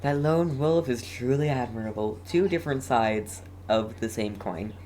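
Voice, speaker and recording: A video game streamer giving casual live commentary and chatting with viewers, halting and often repeating words. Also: mid-range voice, dark tone, slight background noise.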